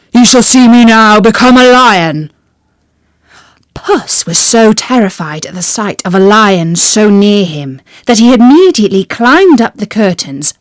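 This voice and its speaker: A woman tells a classic fairy tale at an unhurried pace. Her pitch moves animatedly from phrase to phrase, and the recording is dry and close. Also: darkish tone, no echo. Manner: slow, clear storybook narration. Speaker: storyteller. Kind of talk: fairy-tale audiobook reading.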